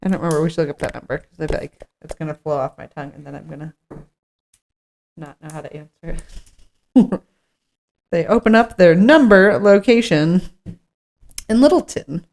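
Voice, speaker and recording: A woman's voice: on the low side, normal speed, long pauses, a little mellow. A woman co-hosting a podcast in an informal chat, partly reading from notes and thinking aloud. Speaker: podcast co-host.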